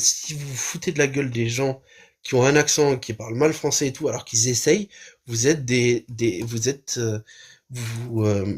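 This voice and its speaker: Streamer, man, average pitch, heated reaction, lots of fillers and swearing. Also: husky. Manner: impassioned, swears, hesitates with many fillers.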